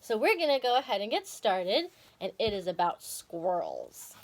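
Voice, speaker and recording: A woman's voice: medium pitch, big pitch swings, normal speed. A woman hosting and reading a children's story expressively, with casual asides to viewers. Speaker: storyteller and video host.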